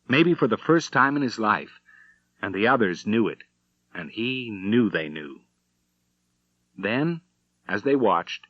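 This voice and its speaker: A man narrates a western audiobook in a bright, forceful voice, pitched a little low. His storytelling is measured and dramatic, recorded very dry with a slightly dull, lo-fi sound. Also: no echo. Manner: measured storytelling with acted dialogue.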